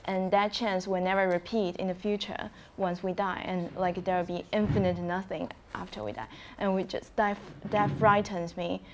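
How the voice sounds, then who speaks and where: A woman's voice: medium pitch, level tone, normal speed, hardly any pauses, slight background noise. Reflective woman from China. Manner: reflective, many "like"s, laughs between thoughts. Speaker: playwright. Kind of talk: panel introduction.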